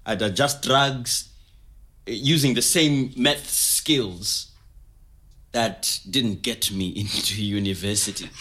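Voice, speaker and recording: Easygoing guy telling his life story, bright clear-cut voice, kinda wry, audio drama. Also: lowish voice, takes it slowly. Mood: wry, reminiscing. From Zimbabwe. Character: easygoing, wry Zimbabwean man recalling his younger days. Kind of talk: scripted oral-history storytelling in conversational manner.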